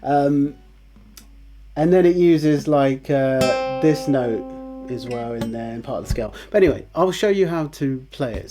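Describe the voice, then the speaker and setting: A man hosting a casual guitar tutorial, chatty and relaxed, with fillers and restarts, who says his voice is on the low side. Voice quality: lower voice, by his own account. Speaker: guitar teacher, channel host.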